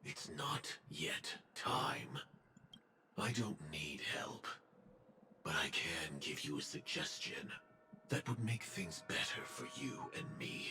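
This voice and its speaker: A man playing a grim, weary killer in cold armor in a video game, speaking slowly and solemnly in terse phrases. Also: low-pitched, very animated, vocal fry, pushed and bright, in a whisper, exaggerated voice. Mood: cold, guarded. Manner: slow, terse, solemn delivery. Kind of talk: scripted video game dialogue. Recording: game music behind the voice.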